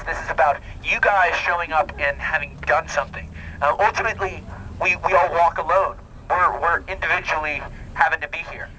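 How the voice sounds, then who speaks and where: A man addressing a walk crowd outdoors through a megaphone, earnest and halting with many ums and restarts. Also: on the high side, sharp tone, rough recording. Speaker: band member addressing a charity walk crowd. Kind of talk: outdoor motivational speech. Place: outdoors at a university campus crowd. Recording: shaky handheld camera, faint background noise.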